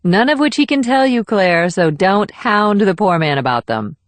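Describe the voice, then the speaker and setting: A woman playing a gracious, gently firm middle-aged mother in an audiobook. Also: medium pitch, lively intonation, normal speed, no room sound, natural voice. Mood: gently chiding. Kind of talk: audiobook character dialogue.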